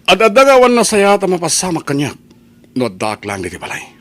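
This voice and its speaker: Man acting a restless, stubborn son, swooping pitch. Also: wildly swooping pitch, brisk. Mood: defiant. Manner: insistent, emphatic. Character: stubborn, restless young son desperate for money. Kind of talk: Ilocano family radio drama acting.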